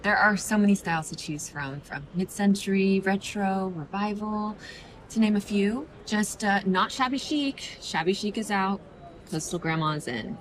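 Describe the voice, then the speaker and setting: A woman's voice: medium pitch, normal speed, a little hiss or hum, lightly acted, rough recording. An actress playing a nervous, earnest woman interior designer who rambles awkwardly in an audio romance drama. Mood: nervous, eager.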